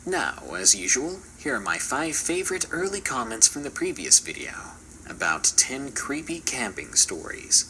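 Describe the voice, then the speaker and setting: A man's voice: medium pitch, very animated, moderate pace, few pauses, sharp tone, slight background noise, poor audio. A man doing scripted, theatrical narration for a horror channel in a playful, dramatic manner.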